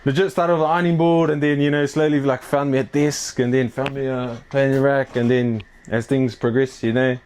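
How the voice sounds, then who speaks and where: A man's voice: medium pitch, steady tone, a little fast, hardly any pauses, darkish tone, a little hiss or hum. Laid-back young New man. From New Zealand. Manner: laid-back, slangy, many fillers. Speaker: clothing brand owner and athlete. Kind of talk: casual interview chat. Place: his apartment.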